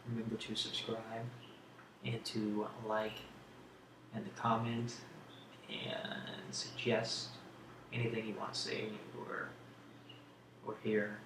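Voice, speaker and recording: A man hosting a casual ASMR question-and-answer video, speaking in a halting, rambling, hesitant way on an amateur home recording. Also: lowish voice, monotone, reverberant, background noise. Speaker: video host.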